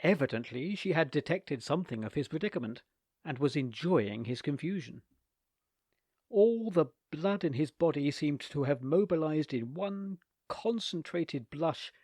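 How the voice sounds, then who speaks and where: Expressive male story reader. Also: on the high side, no room sound, studio quality. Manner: animated, theatrical, expressive. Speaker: reader of short stories.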